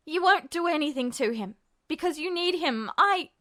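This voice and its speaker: A woman's voice: sits high, animated, normal speed. A woman voicing a frightened but defiant young man in a story, stammering and bursting out shakily. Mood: shaky defiance. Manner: stammering, shaky, forceful outbursts.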